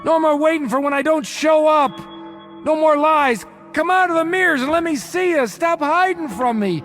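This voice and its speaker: A man's voice: very high voice, normal speed, a bit bright, soft background music. An actor playing a desperate, remorseful middle-aged father and small-time hustler, urgent and emotional, in radio-drama style. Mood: desperate pleading. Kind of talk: dramatic radio-play acting.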